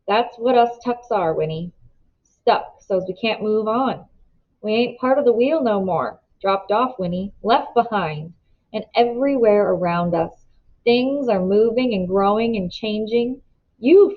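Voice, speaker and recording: An earnest older country father from a storybook read by a woman, weary and pleading. The speech is folksy and plain, with an urgent, philosophical bent. The recording is a little dull. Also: a little high. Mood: somber, resigned.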